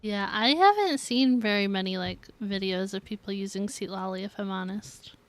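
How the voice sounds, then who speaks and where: A woman's voice: mid-range voice, normal speed. A woman streamer chatting casually with viewers while she plays a video game. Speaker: live streamer playing a game. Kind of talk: casual gaming stream chat.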